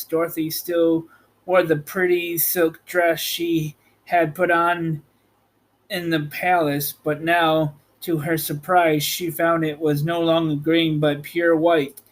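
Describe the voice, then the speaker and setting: A man narrating a storybook aloud as host, in a measured, slightly halting read. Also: a little high, level tone. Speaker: host reading an audiobook chapter.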